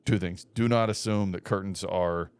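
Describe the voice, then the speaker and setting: A young man co-hosting a casual podcast, giving matter-of-fact advice with quiet deadpan jokes. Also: low-pitched, normal speed, smooth, no room sound. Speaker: podcast co-host, mortgage lender. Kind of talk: casual chat.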